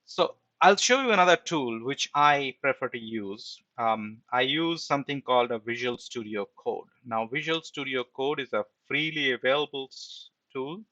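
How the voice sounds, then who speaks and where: A man's voice: medium pitch, animated, normal speed, few pauses, sharp tone, no room sound, highs cut off. A man giving an informal screen-share programming tutorial as an instructor, explaining step by step and often checking in with a short tag question.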